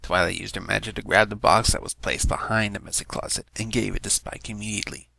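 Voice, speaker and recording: A man's voice: fairly low pitch, steady tone, fast, gravelly, sharp tone, a little hiss or hum. A man reading fan fiction aloud as a scripted story narration.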